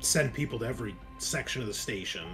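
A man's voice: medium pitch, expressive, quick pace, pushed and bright, voice pushed down. A harried, defensive prison warden, acted by a male game master in a tabletop game. He is curt, bossy and swears freely. Faint music plays underneath. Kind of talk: tabletop role-play acting.

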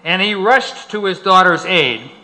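A man's voice: high voice, expressive, a little slow, pushed and bright, low-quality recording. An older man giving a prepared speech to an audience, telling a story in an emphatic, dramatic manner.

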